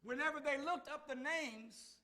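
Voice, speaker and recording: A man preaching a sermon to a congregation, calling out to them and building to shouts. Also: very high-pitched, normal speed. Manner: call-and-response preaching, rising to shouts. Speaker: minister.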